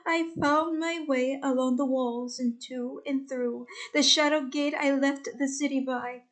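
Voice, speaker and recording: A woman's voice: high voice, fairly even intonation, unhurried. A woman playing an anguished Trojan warrior who recounts loss in an epic poem, dramatic, emotional and urgent. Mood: determined, intense.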